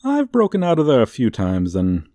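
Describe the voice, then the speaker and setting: A man playing a gloating, suave supervillain in a comedic ASMR roleplay, with a theatrical, teasing, drawn-out delivery. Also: medium pitch, huge pitch swings, mellow, dry recording, studio quality. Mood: smug, menacing. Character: gloating, suave, theatrical male supervillain toying with a young hero.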